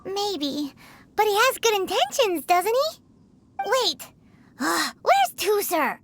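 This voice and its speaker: A tiny chatty fairy sidekick from a video game, very high and childlike. The delivery swoops around expressively, with faint noise behind it. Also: female voice, big pitch swings, slow, a bit bright, exaggerated voice. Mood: flustered.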